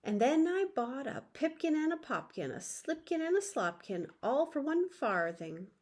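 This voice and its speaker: A woman voicing tiny, rude, defiant little mice with a small twittering squeak in a storybook read-aloud. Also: big pitch swings, normal speed, a little mellow. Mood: teasing chant.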